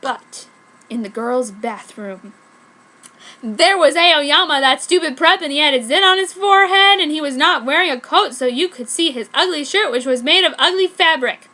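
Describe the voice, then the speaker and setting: A woman's voice: high-pitched, lively intonation, moderate pace, pushed and bright. A woman narrating fan fiction in a mock-serious dramatic style, with exaggerated emphasis and stumbles over words.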